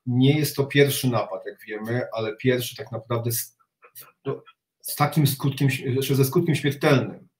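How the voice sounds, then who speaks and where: A middle-aged man giving blunt, halting commentary as an interview guest on a video call from a home study. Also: mid-range voice, darkish tone. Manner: halting, with repeated words and blunt criticism. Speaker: interview guest, reserve army officer. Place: home study with bookshelves, indoors. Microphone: video call, sitting back from the webcam. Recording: roomy, echoing video call.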